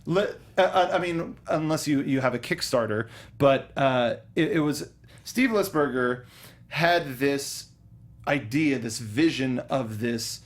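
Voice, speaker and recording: Young guy cohosting a podcast, excited fan chatter, keeps restarting sentences, average pitch. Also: measured pace. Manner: enthusiastic, stumbling restarts, fan talk. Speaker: podcast co-host. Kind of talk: casual chat.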